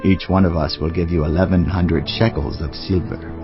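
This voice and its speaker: An actor playing a scheming ruler who persuades with measured words in a dramatized scripture recording. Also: male voice, low-pitched, fairly even intonation, natural voice. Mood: conspiratorial. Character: scheming male ruler offering a bribe. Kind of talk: dramatized scripture audio acting. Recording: dull band-limited audio over music.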